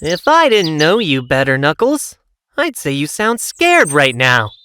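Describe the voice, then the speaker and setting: A woman's voice: low-pitched, very animated, a little slow, fairly bright tone, a little hiss or hum, full character voice. A woman playing a plucky, cocky young male hedgehog hero in a cartoon audio drama. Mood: wry.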